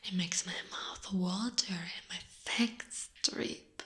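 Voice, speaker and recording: A woman plays a giant female spider monster who treats her listener as a possession. She speaks very slowly and deliberately, slipping between soft voice and breathy whisper. The delivery is seductive and predatory, in an intimate ASMR roleplay style. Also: fairly low pitch, fairly even intonation. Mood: proud, predatory. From Italy.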